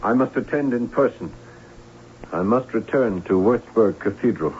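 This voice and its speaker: An actor playing a melancholy, ghostly young Count in an old radio drama, speaking in a halting, solemn, formal way. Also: male voice, lowish voice, natural voice. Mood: grave, regretful. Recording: lo-fi old radio, hum and noise.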